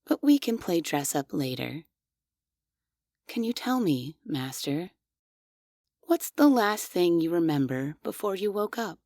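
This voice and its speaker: A woman as a slow, doting robot maid. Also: fairly low pitch, very animated, no room sound, studio quality, full character voice. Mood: gentle, doting. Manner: soft, formal and servile, with quietly unsettling questions. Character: devoted obsessive female android maid, polite but creepy. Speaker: voice actress. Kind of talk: ASMR audio roleplay.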